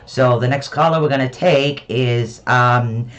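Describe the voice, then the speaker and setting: A soft-spoken man hosting a radio call-in show and giving a psychic reading in a reassuring way, with frequent ums. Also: medium pitch. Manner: reassuring, fills pauses with um.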